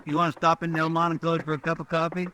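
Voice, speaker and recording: A man's voice: a little high, completely flat delivery, fast talker, pushed and bright. An actor playing an eager, simple, folksy deputy who defers to his marshal in a radio western. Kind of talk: old-time radio western acting. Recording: old radio recording, faint noise, clicks.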